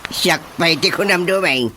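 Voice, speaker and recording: A man's voice: high-pitched, big pitch swings, slow pace, bright, forceful tone, exaggerated voice. A man acting a boastful, comically confident village character in an Ilocano radio drama. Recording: radio drama with faint background noise.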